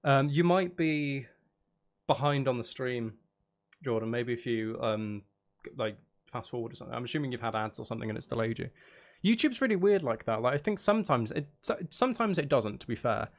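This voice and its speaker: A man's voice: mid-range voice, lively intonation, brisk. A man chatting casually on a live stream, rambling and thinking aloud in a hesitant way. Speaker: live streamer composing game music.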